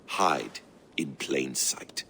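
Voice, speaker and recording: A man playing a calm, secretive master assassin in a video game, speaking in a measured, cryptic, instructive way. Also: very deep voice, creaky, slight background noise, exaggerated voice. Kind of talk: video game dramatic acting.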